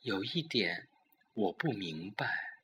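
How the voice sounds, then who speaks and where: A man's voice: lowish voice, big pitch swings, takes it slowly, sharp tone, a little hiss or hum, full character voice. A man voicing a puzzled, tentative young wife who is an accomplice in an audio drama murder plot. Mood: puzzled, hesitant. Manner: questioning, tentative.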